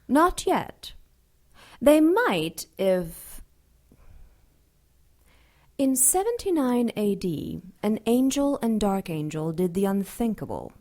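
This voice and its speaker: A woman playing an imperious, sardonic ancient dark angel in an audio drama, taunting and theatrical in a deliberate way. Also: mid-range voice, very animated. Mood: cool, superior.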